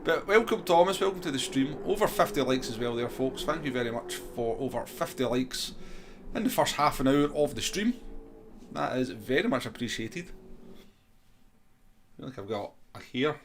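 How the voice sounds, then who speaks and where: A man's voice: mid-range voice, animated, normal speed, slight background noise. A man hosting a gaming livestream, chatting casually and replying to viewers as he goes. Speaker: livestream host. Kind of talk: casual gaming stream chat.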